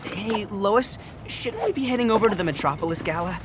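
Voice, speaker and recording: A man voicing an eager, nervous young news photographer in an animated video game, boyish and stumbling. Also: high voice, a little fast. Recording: vehicle and ambient noise behind.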